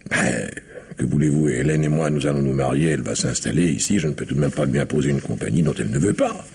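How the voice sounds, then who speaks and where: An actor playing a self-satisfied middle-aged factory owner in a French radio drama, gravelly and husky, patronising yet hesitant. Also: male voice, a bass voice, fast, darkish tone, exaggerated voice. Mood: awkward, evasive. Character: self-satisfied factory owner pushing out his brother's household.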